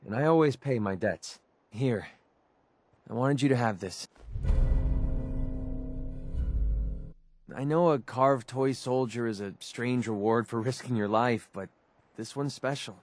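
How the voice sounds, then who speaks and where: A man's voice: mid-range voice, moderate pace, a little hiss or hum, lightly acted. An American man playing a weary, sincere young mercenary in a video game, earnest and hesitant as he confides. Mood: sincere, grateful. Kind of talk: video game dialogue acting.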